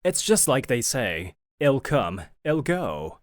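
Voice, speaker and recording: A man narrating as a young company president, telling the story in a lively way. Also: medium pitch, slow, dry recording, studio quality. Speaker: narrator and lead figure. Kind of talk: manga video narration.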